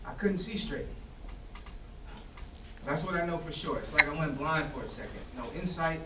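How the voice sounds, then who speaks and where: A young man plays a troubled, defensive teenage son in a stage drama. His voice sits fairly high, and his talk is colloquial and insistent, circling back on phrases. He is picked up from a distance in a roomy space with faint noise. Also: normal speed, close to an everyday voice. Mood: earnest, defensive. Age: young adult. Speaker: drama student acting in a staged reading. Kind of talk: staged play reading, naturalistic acting. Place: indoor staged reading before festival, distant mic.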